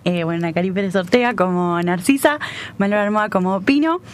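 A woman's voice: medium pitch, expressive, fairly quick, a little hiss or hum. A woman, a theater director and writer, chatting cheerfully in an interview and laughing often. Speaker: theater director and playwright, guest. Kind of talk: casual interview chat.

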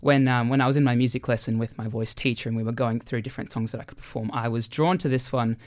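A man's voice: mid-range voice, steady tone, fast. A young man, a student singer, answering interview questions thoughtfully, with hesitant ums.

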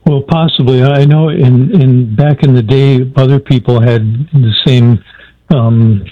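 A man's voice: medium pitch, fairly even intonation, unhurried, no room sound. A man calling in to a radio show, earnest and hesitant, often restarting his sentences. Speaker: caller. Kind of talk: phone-in caller explaining a question. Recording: dull lo-fi phone line.

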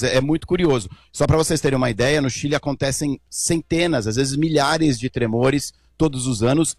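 A man's voice: a little high, dry recording, studio quality. A male correspondent delivering a news report from abroad, explaining things informally with frequent fillers.

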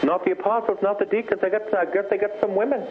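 A man with a somewhat high voice preaches in a charismatic style. He is emphatic and leans on repeated phrases. The sound is narrow and phone-like, with some background noise. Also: steady tone, fast. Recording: thin phone-like band, loud noise.

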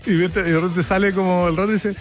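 Chilean guy, middle-aged musician guest, talks fast and acts out everything people said, soft music in the back. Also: sits high. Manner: animated storytelling, acts out dialogue. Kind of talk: casual interview chat.

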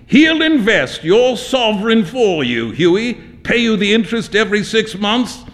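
A jovial young gentleman in a storybook reading. His voice rides high and leaps about animatedly. He teases with hearty, theatrical relish. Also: big pitch swings, moderate pace. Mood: jovial teasing. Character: boisterous, teasing English gentleman painter, jovial young man. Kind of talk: dramatic literary story reading.

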